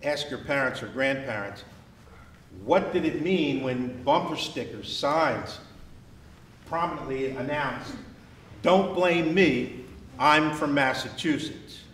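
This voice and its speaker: Deliberate American man lecturing, distant and echoey. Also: a little high, a bit bright. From New Jersey, United States. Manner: measured, deliberate, emphatic pauses on key words. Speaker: living-history presenter in Civil War uniform. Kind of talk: educational history talk to a student group. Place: wood-panelled memorial hall, indoors, with student audience. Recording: distant mic, echoey room, background noise.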